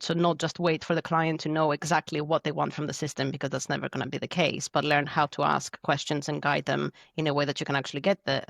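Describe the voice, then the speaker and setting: A woman's voice: sits low, gravelly, no room sound, studio quality. A woman in her thirties from Greece with a Greek accent, chatting as a podcast guest with many fillers and restarts. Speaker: podcast guest, tech delivery lead. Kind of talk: conversational interview answers.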